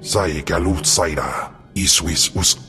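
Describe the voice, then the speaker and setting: A man's voice: very deep voice, bright, forceful tone, faint music behind, full character voice. A man voicing a hulking, menacing alien monster in a video game, speaking ponderously. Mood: ominous. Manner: slow, ponderous. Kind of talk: video game creature voice in an invented tongue.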